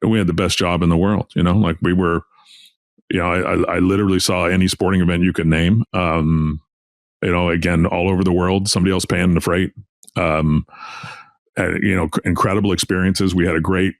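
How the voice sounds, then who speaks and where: A man's voice: deep voice, level tone, brisk, no room sound, clean studio sound. A middle-aged man reminiscing casually as an interview guest, in a rambling way peppered with "you know". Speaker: interview guest, veteran sports journalist.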